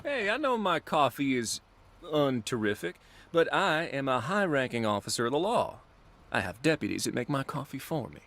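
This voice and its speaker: A lively, folksy frontier lawman. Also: mid-range voice, moderate pace. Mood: wry. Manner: animated, encouraging, colloquial. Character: genial, folksy frontier lawman in a post-apocalyptic western. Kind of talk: video game cutscene acting. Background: background music.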